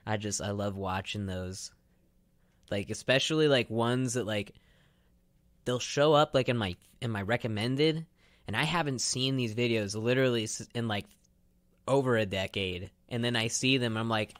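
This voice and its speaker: A man's voice: mid-range voice, moderate pace, fairly bright tone, no room sound. A young man co-hosting a radio show in casual, rambling chat, full of slangy asides and mock quotes.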